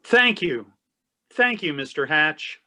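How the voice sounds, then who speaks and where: A man playing an earnest shopkeeper father in a readers theatre children's story, speaking deliberately. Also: a little high, sing-song, bright, forceful tone, full character voice. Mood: grateful.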